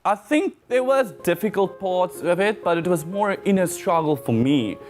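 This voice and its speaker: A man's voice: high voice, lively intonation, moderate pace, soft background music. A man in his twenties with a South African accent, a guest talking casually and laughing often in an interview. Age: 20s.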